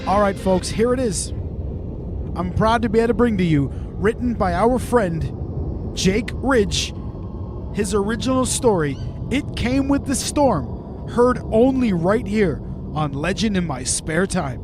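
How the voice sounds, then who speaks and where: A man's voice: sits high, animated, measured pace. A man hosting a podcast, reading promos and introductions in an upbeat style over music. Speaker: podcast host. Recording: loud music under the voice. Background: loud music.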